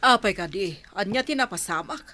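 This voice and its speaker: A woman's voice: mid-range voice, animated, a little fast, bright, forceful tone. A woman playing the hot-tempered, cursing wife of a crime boss in an audio drama. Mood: alarmed. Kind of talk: radio drama dialogue.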